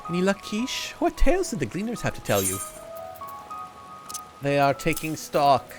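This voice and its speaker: A man's voice: on the high side, wildly swooping pitch, normal speed, music in the background. A man streaming a game, chatting casually and reading the dialogue aloud with joking asides. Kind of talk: casual let's-play commentary.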